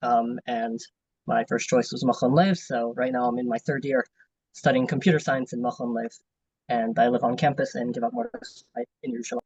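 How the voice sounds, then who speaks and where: A man's voice: mid-range voice, lively intonation, fairly quick, gravelly, darkish tone, slightly rough, no room sound, recorded from a distance, poor audio. A man in his twenties from New Jersey, introducing himself as a student panelist in a casual way with many fillers, over a video call. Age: 20s.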